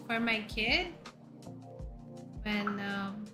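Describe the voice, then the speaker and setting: A woman from India with an Indian accent, a VTuber in her thirties chatting casually with her viewers and firing off questions and loud exclamations. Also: medium pitch, lively intonation, drawn-out words. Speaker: VTuber streamer. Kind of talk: casual chat reading viewer messages. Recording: faint background music under voice.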